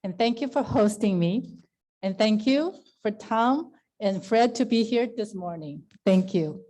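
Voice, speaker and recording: A middle-aged woman from Taiwan speaks English, her tongue. Her voice is mellow and warm, pitched at an ordinary height for a woman. She presents graciously over a video call, thanking her audience again and again. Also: expressive, measured pace. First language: Mandarin Chinese. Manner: gracious, laughs often, thanks repeatedly. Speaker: guest speaker, Rotary district governor. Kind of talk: slide presentation to a club.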